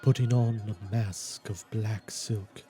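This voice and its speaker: A man reading a gothic horror tale in a measured, deliberate dramatic narration, at times dropping to a near whisper. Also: fairly low pitch, fairly even intonation, warm tone. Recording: music behind the voice. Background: music.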